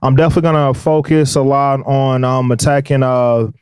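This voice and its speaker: A man's voice: mid-range voice, steady tone, a little fast, a little mellow, smooth, no echo. Nasal rapper guy chatting laid-back on a podcast, dry studio mic, lots of ums. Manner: laid-back, rambling with fillers. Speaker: rapper guest. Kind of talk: casual interview talk. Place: recording studio. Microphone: studio microphone.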